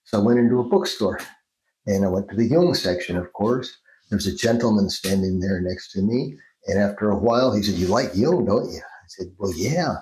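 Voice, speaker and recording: An older man from Mexico with a Mexican accent, a Jungian analyst telling stories in an unhurried interview, often restarting his sentences. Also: on the low side, animated, a little mellow, roomy sound, poor audio. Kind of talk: storytelling interview answers.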